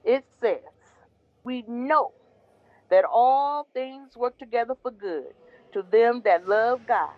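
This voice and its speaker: A woman playing Mama Ronnie, a devout foster mother who gently consoles her jailed son in an audio drama. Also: on the high side, animated, slow, no room sound, highs cut off. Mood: earnest. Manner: gentle, consoling, preaching faith. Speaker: Drew's mother.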